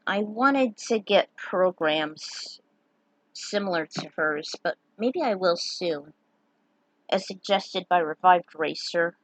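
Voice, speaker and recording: A woman's voice: fairly low pitch, expressive, slow pace, no echo, rough recording. A woman talking casually about her fan fiction story and sharing her notes as a writer. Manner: chatty, sign-off upbeat.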